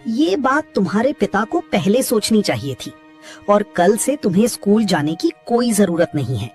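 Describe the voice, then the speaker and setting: A woman's voice: mid-range voice, big pitch swings, moderate pace, a little mellow, faint music behind, natural voice. A woman voicing a suspicious, demanding man in a horror cartoon story, questioning and insistent. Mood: domineering. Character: demanding, suspicious man in a haunted-house tale.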